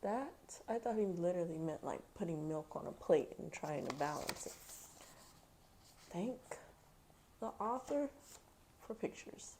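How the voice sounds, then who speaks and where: A woman's voice: lowish voice, expressive, a little slow, pauses often, warm tone, slight background noise. A woman reading a children's book aloud expressively, playful, with chatty casual asides. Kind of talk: animated storybook narration with casual asides.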